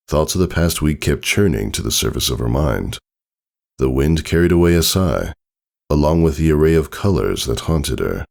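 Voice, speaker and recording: Very deep, gravelly male storyteller. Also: darkish tone. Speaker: fan fiction reader. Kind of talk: scripted storybook narration.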